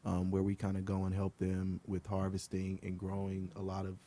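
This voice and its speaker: A man from Liberia, a guest on a podcast, talking in a relaxed, earnest way with many ums. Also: low-pitched, moderate pace. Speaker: guest, outdoor group co-founder. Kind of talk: casual podcast interview.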